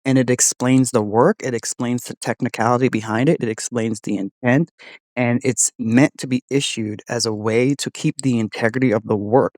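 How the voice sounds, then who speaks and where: A man's voice: mid-range voice, darkish tone, no room sound. A man hosting a voice-model test, talking earnestly in a conversational, explanatory way. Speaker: host testing an AI voice model.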